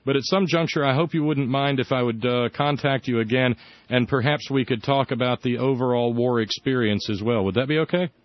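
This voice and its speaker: A man hosting a radio talk show, speaking in a measured, earnest way. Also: medium pitch, dry recording, lo-fi sound. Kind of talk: radio interview.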